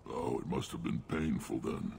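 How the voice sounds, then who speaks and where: Game casting: battle-worn alien chief, bottomless rumbling bass full of gravel, blunt authority, wry warmth. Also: male voice, normal speed, slight background noise, exaggerated voice. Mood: hearty, wry. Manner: blunt, commanding. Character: gruff battle-worn alien clan chief, commanding, wryly warm. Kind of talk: video game dialogue.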